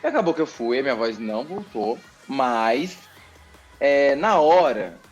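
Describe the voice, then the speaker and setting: A man with a Brazilian accent, a TV journalist chatting as a podcast guest, telling a story in an animated, expressive way and repeating words for emphasis. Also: mid-range voice, measured pace, lo-fi sound, faint music behind. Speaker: TV journalist and presenter, interview guest. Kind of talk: casual podcast interview storytelling.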